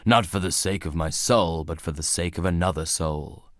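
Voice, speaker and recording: A solemn old judge, voiced by a male actor for a video game, speaks in a low-pitched voice with very little room sound. He is weary and cryptic, and each line comes out as a grave, formal pronouncement. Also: normal speed, no room sound, clean studio sound, lightly acted. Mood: weary, philosophical. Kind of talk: dramatic video game voice acting.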